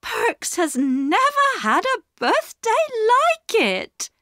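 A woman's voice: high voice, huge pitch swings, very slow, sharp tone, dry recording, studio quality, pitched above the performer's own voice, full character voice. A woman voicing a firm, plain-spoken village wife and mother in a storybook. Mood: amazed. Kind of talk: storybook character reading.

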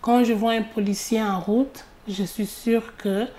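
A woman interviewee speaking calmly and contentedly. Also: on the high side, unhurried, slight background noise. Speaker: immigrant interviewee.

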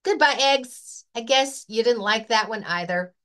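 A woman voicing a gentle young poet pig boy. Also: fairly high pitch, measured pace. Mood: pleading. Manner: earnest and soft-spoken.

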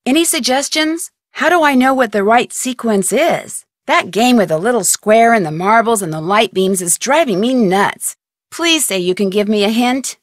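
Actress as young game sleuth, inquisitive and courteous, slightly high voice, dry studio read. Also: female voice, lively intonation, normal speed, dry recording, natural voice. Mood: friendly. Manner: inquisitive, earnest questioning. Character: curious young female amateur detective, polite and inquisitive. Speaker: game protagonist. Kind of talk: recorded game dialogue lines. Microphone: close studio mic.

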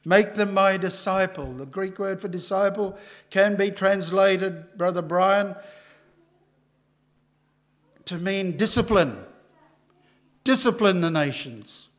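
An older man preaching a sermon at a church lectern microphone, emphatic and rising loud on key lines. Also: high-pitched, unhurried.

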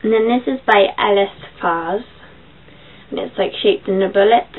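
A young woman with a croaky voice, chatting casually through a makeup tutorial in her bedroom, with filler ums as she goes. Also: medium pitch, moderate pace. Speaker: beauty vlogger. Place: small bedroom. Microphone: built-in webcam mic. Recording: tinny lo-fi webcam audio, faint hiss.

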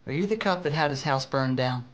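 A man with an American accent and a husky voice, recounting events as an interviewee. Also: medium pitch, animated, a little fast.

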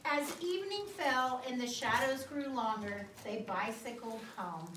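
A woman's voice: fairly high pitch, unhurried, fairly bright tone. A middle-aged woman reading a picture book to children in a classroom, expressively drawing out words and checking in with questions. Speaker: guest storyteller reading to schoolchildren. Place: indoor school classroom. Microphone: distant phone or camera across the room. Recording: roomy, distant mic, noisy classroom.

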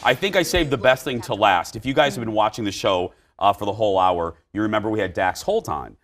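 A man in his forties hosting a daytime TV talk show from a bright studio set, animated and often breaking into shouts. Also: on the low side, brisk, a little hiss or hum. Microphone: lapel mic.

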